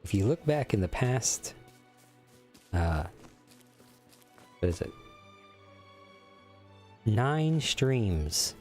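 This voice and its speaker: Man in his forties, lowish voice, lively swinging pitch, crude joking banter, faint game music behind. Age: 40s. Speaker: streamer. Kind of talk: casual gaming stream chat.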